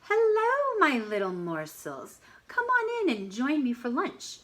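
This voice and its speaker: A woman putting on a storybook big bad wolf, sly and hungry, who gloats in a high, swooping, sing-song voice. He lingers over his words in a slow, theatrical way. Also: wildly swooping pitch, fairly bright tone, slight background noise, full character voice.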